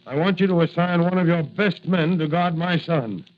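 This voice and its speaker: An actor playing a proud, stern city mayor and protective father, speaking with deliberate authority in old radio drama style. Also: fairly high pitch, close to an everyday voice. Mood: firm, concerned. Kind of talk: 1940s radio drama acting. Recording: muffled vintage radio recording.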